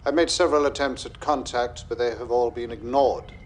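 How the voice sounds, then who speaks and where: Man playing a stately, measured Klingon leader. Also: mid-range voice, steady tone, a little hiss or hum, natural voice. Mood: measured, probing. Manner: formal, authoritative, measured. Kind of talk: video game cutscene acting.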